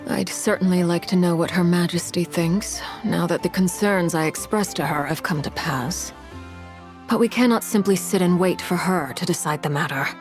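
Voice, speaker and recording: A woman voicing a stern, formal commander with a low, controlled voice and grave, measured delivery. Game music plays clearly beneath her. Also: lightly acted. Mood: resolute. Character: cold, resolute noblewoman commander. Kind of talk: video game dialogue.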